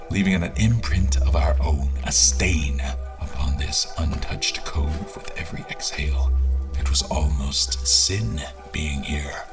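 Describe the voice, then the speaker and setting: An actor playing a solemn explorer, narrating a fantasy audio drama in the first person with grave, literary delivery. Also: male voice, low-pitched, gravelly. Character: solemn male explorer recounting his dark expedition.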